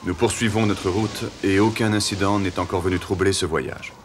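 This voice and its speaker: An actor voicing a resolute male admiral who gives firm orders in an animated cartoon. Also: sits low, normal speed, a little hiss or hum, natural voice. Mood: calm. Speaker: admiral leading a voyage.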